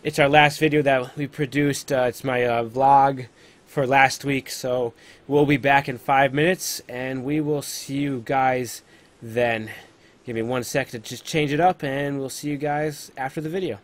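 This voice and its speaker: A man hosting a casual live podcast, talking in a loose, hesitant and halting way. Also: mid-range voice, fairly even intonation. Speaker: podcast host.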